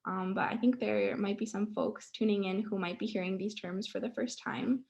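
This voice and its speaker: Female panel moderator, noticeable vocal fry, medium pitch, informal delivery. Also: steady tone, normal speed, a little mellow, highs cut off.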